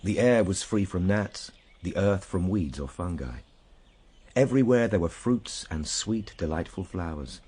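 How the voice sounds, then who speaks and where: Reflective gentleman narrator, low pitch, solemn, measured, literary, radio drama, faint music. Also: animated, slightly rough, exaggerated voice. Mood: solemn wonder. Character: time traveller as narrator, grave, reflective gentleman recalling his journey.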